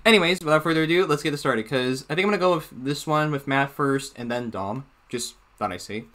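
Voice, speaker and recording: A man's voice: on the high side, a little fast, a bit bright. A young man hosting a casual reaction video from a small room, rambling and slipping in jokey asides. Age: young adult.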